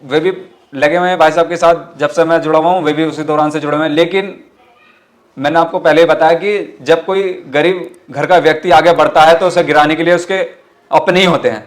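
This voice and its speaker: A man's voice: on the high side, steady tone, fairly quick. A man with a western Uttar Pradesh accent answering interview questions as a party activist, earnest and emphatic, often repeating his phrases. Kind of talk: interview answers with political rhetoric.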